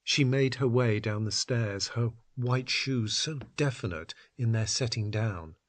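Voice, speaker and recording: A man with a husky, breathy voice narrating a literary story in a deliberate, dramatic way. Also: medium pitch.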